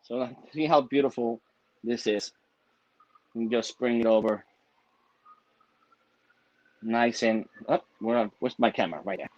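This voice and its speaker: A man raised in Puerto Rico talks his way through a cooking demo from his home kitchen. He speaks slowly, full of fillers and easy laughter. The call audio is muffled and dry. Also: medium pitch, steady tone, darkish tone, dry recording. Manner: chatty, laughs often, halting with fillers. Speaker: cooking show guest chef. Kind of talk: live-streamed cooking demonstration.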